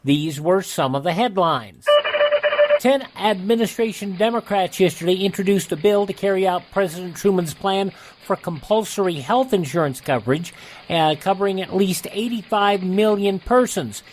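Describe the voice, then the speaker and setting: A man's voice: high voice, moderate pace, a little hiss or hum, low-quality recording. Male radio host reading scripted news. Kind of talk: scripted radio announcing, reading old headlines.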